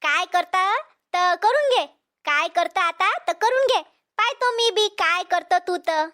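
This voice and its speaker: Casting: brash cartoon village troublemaker, female, Vidarbha Marathi accent, piercingly high pitched-up voice, insulting and defiant. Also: expressive, a little slow, sharp tone, full character voice. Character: brash, pushy, combative village woman at water tap. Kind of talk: cartoon comedy dialogue.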